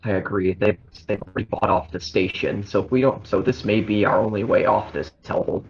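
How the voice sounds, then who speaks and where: Fast, gravelly, husky man. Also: fairly low pitch, steady tone. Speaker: tabletop roleplaying game player. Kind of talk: casual group talk. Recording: dull, lo-fi voice mic.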